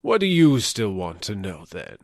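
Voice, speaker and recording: A male narrator puts on a powerful gatekeeper for an audiobook tale, stern and condescending. The voice is low and slow, commanding in its delivery. The sound is dry and close. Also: wildly swooping pitch, dry recording, exaggerated voice. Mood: impatient.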